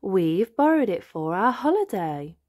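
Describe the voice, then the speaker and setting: A woman's voice: medium pitch, big pitch swings, measured pace, a little mellow. A woman voicing a cheerful, caring mother pig from a storybook, organising everyone in a motherly way. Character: cheerful, caring mummy pig, adult female.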